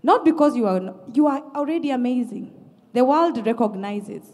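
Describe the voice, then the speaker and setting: A woman's voice: on the high side, lively intonation, normal speed, darkish tone. A woman giving emphatic, effusive spoken feedback to performers as a judge, recorded in a reverberant auditorium. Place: large indoor theatre auditorium. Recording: echoey auditorium with long reverb.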